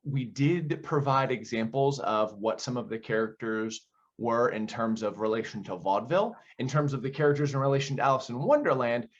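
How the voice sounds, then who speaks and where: Man explaining in a dull lo-fi recording. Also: lowish voice. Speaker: audio play director being interviewed. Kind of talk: conversational interview answers.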